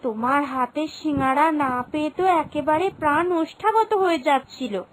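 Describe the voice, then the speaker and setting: A woman's voice: high-pitched, normal speed, close to an everyday voice. A woman voicing an eager young village girl in a cartoon, gushing and familiar as she chats. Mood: chatty, excited. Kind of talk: Bengali cartoon voice acting.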